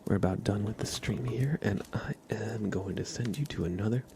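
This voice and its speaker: Hushed, low-voiced man with creaky edge. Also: moderate pace, noisy background. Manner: hushed, often slipping into whispers. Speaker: ASMR livestream host. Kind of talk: soft-spoken ASMR livestream chat.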